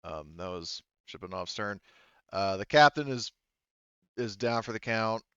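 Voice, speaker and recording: A man's voice: fairly low pitch, expressive, measured pace, fairly bright tone, clean tone, dry recording, highs cut off. A man casually running a tabletop roleplaying game as game master, thinking aloud as he narrates.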